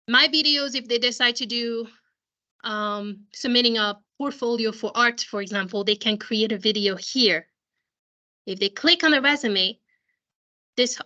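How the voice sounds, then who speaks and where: Counselor lady losing her voice on a video call, clear bright tone, keeps restarting sentences. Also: a little high. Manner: explanatory, with frequent restarts. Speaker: school counselor. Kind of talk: explaining a software walkthrough to parents.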